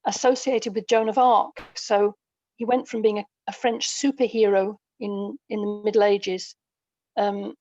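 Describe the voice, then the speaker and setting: A woman in her sixties speaking as an interview guest, thoughtful and hesitant, pausing mid-sentence as she reflects. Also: mid-range voice, flat delivery, darkish tone, no room sound, lo-fi sound. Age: 60s. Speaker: guest, audio drama writer.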